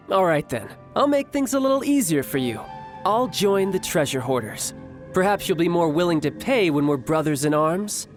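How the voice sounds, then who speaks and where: A man voicing a cocky young warrior in a video game, smooth-talking, smug and formally worded. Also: fairly high pitch, big pitch swings, moderate pace, soft background music. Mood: confident, playful. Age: young adult. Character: cocky, theatrical young male warrior posing as toy salesman.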